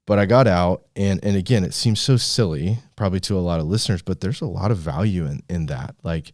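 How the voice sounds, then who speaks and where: A man's voice: sits low, fairly quick, a little mellow, no room sound, studio quality. A man co-hosting a podcast, telling personal stories in an informal, slangy, bro-like way. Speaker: podcast host. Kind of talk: casual chat, personal storytelling.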